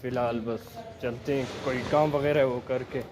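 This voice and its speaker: A man's voice: medium pitch, little pitch movement, normal speed, noisy background. A young man from Pakistan chatting casually as a vlogger, thinking aloud and restarting his sentences. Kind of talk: casual vlog narration. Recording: loud music underneath.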